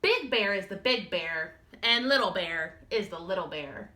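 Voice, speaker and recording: A woman teacher reading a picture book aloud to young children, expressive and speaking to them directly. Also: medium pitch, a little slow. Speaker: first-grade teacher.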